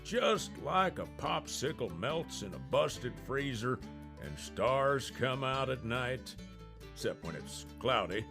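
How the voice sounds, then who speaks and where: Actor doing a slow, folksy old cowboy with a lively, gravelly drawl. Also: male voice, mid-range voice, heavily acted. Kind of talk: comic fake sponsor ad, radio drama.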